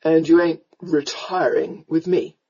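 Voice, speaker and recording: Woman voicing a low, drunken Regency rake. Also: measured pace, a little mellow, breathy edge, muffled recording. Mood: rueful, teasing. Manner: rambling, drawling, colloquial. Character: drunken, roguish Regency gentleman, lazy and amused.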